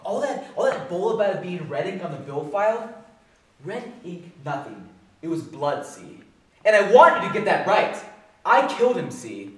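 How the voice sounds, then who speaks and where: An actor playing an agitated, bitter working-class bookkeeper in a rambling, slangy, halting theatrical stage monologue. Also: male voice, high-pitched, sing-song, darkish tone. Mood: scornful. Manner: rambling, halting, slangy, swinging from muttering to outbursts. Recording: echoey distant stage recording, faint noise.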